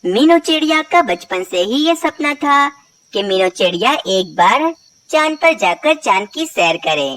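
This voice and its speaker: A woman's voice: on the high side, big pitch swings, unhurried, fairly bright tone, far from the mic, a little hiss or hum. A woman narrating a scripted moral story for children.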